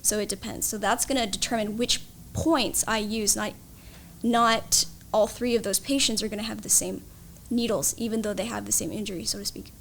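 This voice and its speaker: A woman's voice: medium pitch, steady tone, normal speed, vocal fry, a bit bright, a little hiss or hum. A woman explaining her field as a guest expert in an interview, in an instructive, matter-of-fact way.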